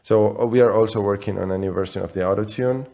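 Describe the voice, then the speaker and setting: Halting man with lowish voice. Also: fairly even intonation, dark tone. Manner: halting, repeats words while searching for phrasing. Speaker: company CEO, interview guest. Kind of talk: conversational interview answers.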